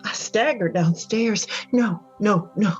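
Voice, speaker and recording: A woman playing a haunted Southern mother in a radio drama monologue, telling her confession in a folksy, intense, deliberate way. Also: mid-range voice, darkish tone, lo-fi sound, faint music behind, close to an everyday voice. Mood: haunted, grim.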